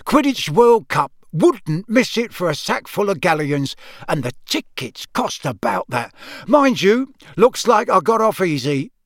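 A man voicing a genial, boastful middle-aged wizard father in an audiobook, with a booming, hearty, colloquial way of talking. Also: very animated, measured pace, dry recording, studio quality. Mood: hearty, chatty.

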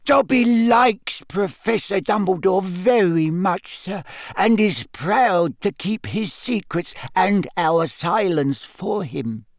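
Squeaky little house elf, loyal n jittery, pitch jumps around, broken grammar, audiobook. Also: male voice, animated, slow pace, gravelly, no echo, studio quality, heavily acted. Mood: loyal, earnest. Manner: broken third-person grammar, servile. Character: small squeaky male house-elf, eager, loyal, nervous. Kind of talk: audiobook character acting.

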